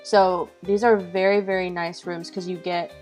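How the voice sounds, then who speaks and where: A woman's voice: fairly low pitch, little pitch movement, normal speed, a little mellow, soft background music. Bubbly giggly woman gushing over a game build. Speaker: co-host touring a game build. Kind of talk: casual game tour chat.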